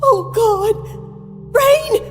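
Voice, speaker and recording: An actress playing a horrified mother in an audio drama flashback, crying out in drawn-out words. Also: very high voice, lively intonation, full character voice. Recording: long hall reverb, music behind.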